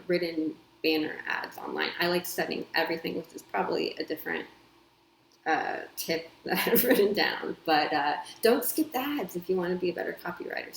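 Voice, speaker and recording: A woman's voice: on the low side, slight background noise. A woman, a copywriter and editor, chatting casually to camera from home with lots of ums and small laughs. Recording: echoey room with faint ambience.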